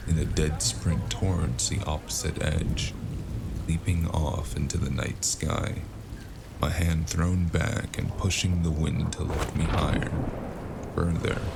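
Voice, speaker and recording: A man playing a world-weary, wry noir freelancer in a gravelly voice, narrating a cyberpunk fantasy audio drama in the first person. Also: a bass voice, noisy background, full character voice. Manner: wry, brooding, unhurried. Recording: constant loud music underneath. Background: loud music.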